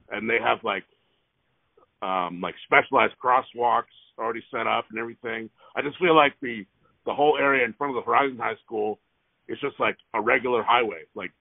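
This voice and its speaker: A man speaks in a gravelly voice over a tinny phone line. He rambles with plenty of hesitation but keeps pressing his complaint. The sound is dry and close, as if from a parked car. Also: medium pitch, dry recording. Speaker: resident calling about traffic. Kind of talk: phone call.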